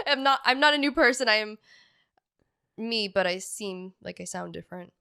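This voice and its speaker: A woman's voice: medium pitch, expressive, moderate pace, creaky, pushed and bright, clear, resonant tone, no echo, studio quality. A woman in her thirties, stuffy from a bad cold, co-hosting a podcast in a chatty, joking way that is full of laughter. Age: 30s. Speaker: podcast co-host. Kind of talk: casual chat.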